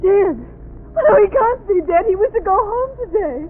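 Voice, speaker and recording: An actress playing a distraught young wife, sobbing and pleading in a 1930s radio drama. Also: female voice, extremely high pitch, lively intonation, normal speed, a little hiss or hum. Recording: tinny old radio broadcast.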